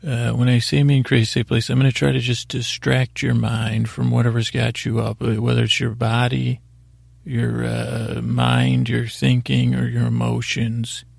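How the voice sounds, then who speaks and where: A man hosting a bedtime podcast with a gravelly, husky voice, rambling and halting in a soothing, unhurried, self-deprecating way. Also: medium pitch, level tone, no room sound. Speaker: podcast host. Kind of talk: meandering bedtime podcast monologue.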